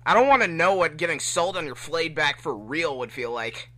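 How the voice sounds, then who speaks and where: A brash young cartoon hedgehog, played by a man. He grumbles casually in a fairly high, bright voice with a whiny edge and lively swings of pitch. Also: normal speed. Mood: whiny, annoyed. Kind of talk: amateur fan fiction script reading.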